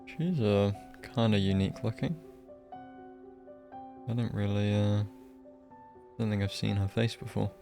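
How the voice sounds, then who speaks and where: Lowish, gravelly male game streamer. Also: steady tone, measured pace, long pauses, music in the background. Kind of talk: casual live gameplay commentary.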